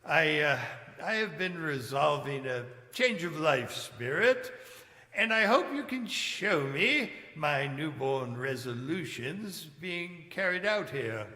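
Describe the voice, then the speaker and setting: A scared repentant old miser, kinda high and halting, pleading, live radio play in an echoey hall. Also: male voice, lively intonation, pushed and bright, heavily acted. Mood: bewildered, earnest. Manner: halting, stammering, earnest and theatrical. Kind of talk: stage radio-play acting. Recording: echoing live hall stage recording.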